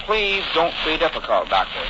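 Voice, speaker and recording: A lisping crook in a forties radio play, threatening with polite words. His voice sits slightly high, under heavy noise on a muffled old recording. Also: sing-song, moderate pace. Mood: coolly menacing. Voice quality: lisping, odd speech. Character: lisping male gang villain, menacingly polite crook. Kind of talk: 1940s radio acting.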